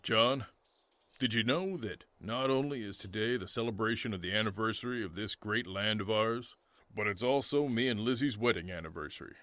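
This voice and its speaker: A man's voice: on the low side, normal speed, pushed and bright, dry recording. A man playing a hearty, devoted cowboy husband and father in a comic western audio drama. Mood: admiring, proud.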